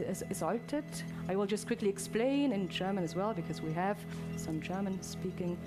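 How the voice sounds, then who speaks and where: A woman giving an informal live demo to an audience, addressing them directly as a presenter. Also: lowish voice. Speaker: presenter demonstrating immersive videos. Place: immersive presentation space with an audience. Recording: loud music behind the voice. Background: music from demo video.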